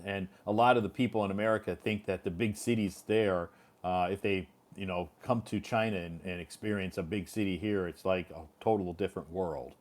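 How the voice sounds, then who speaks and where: A man's voice: sits low, steady tone, fairly quick. A middle-aged man hosting a casual interview, chatty and rambling with frequent restarts. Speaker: host.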